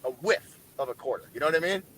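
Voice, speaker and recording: A man's voice: on the high side, animated, quick pace, fairly bright tone, a little hiss or hum, rough recording. A man from Boston with a Boston accent, chatting casually on a group stream, rambling and repeating himself. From Boston, Massachusetts.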